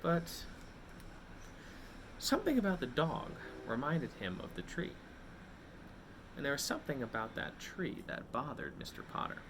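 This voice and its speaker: A man's voice: mid-range voice, noisy background. A man hosting a read-aloud for children, reading a picture book expressively and addressing his young listeners directly.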